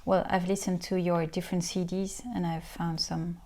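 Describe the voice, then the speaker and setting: A woman interviewer asking halting questions, with many fillers and restarts. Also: lowish voice, little pitch movement, darkish tone. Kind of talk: casual interview talk.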